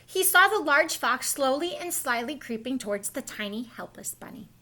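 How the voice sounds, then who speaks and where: A woman reads a picture book aloud for young children. Her voice is high and bright, and she tells the story expressively, taking care that small listeners follow along. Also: lively intonation, moderate pace. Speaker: storybook reader, school staff.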